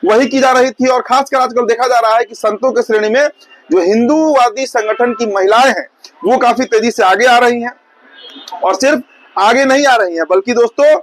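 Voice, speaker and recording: Very high-pitched male news presenter. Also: moderate pace, poor audio. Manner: conversational, explanatory, repeats and rephrases points. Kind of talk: informal news commentary addressed to viewers.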